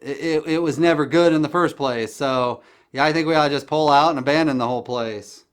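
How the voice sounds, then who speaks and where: A man's voice: on the high side, brisk, clean tone. A man chatting casually about current events as a host, blunt and opinionated, in a rambling, dismissive way. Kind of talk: casual political chat over the phone.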